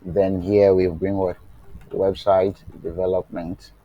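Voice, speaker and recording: Deep-voiced man teaching a coding class. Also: little pitch movement, takes it slowly, lo-fi sound, a little hiss or hum. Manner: asks rhetorical "what?" questions and lets the class fill in the answer; checks on the class with "are you getting it?". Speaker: web development instructor. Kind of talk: live online coding lesson. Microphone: remote class, voice faint at times.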